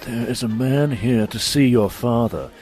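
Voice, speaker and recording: Man playing a slow-spoken, deferential manservant. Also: mid-range voice, darkish tone, soft background music, natural voice. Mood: nervous, polite. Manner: formal, apologetic. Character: deferential, hesitant male servant of a rich household. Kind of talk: pulp radio drama acting.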